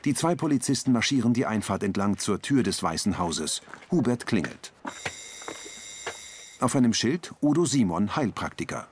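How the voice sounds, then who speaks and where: A man's voice: lowish voice, very animated, normal speed, slight background noise. A man reading terse scripted scene descriptions as an audio description narrator.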